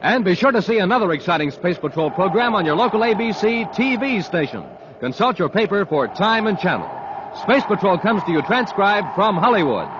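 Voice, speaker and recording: A man's voice: high-pitched, very animated, a little fast. An American man announcing in emphatic 1950s radio style, with scripted hard-sell showmanship. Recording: slightly noisy, muffled 1950s radio recording.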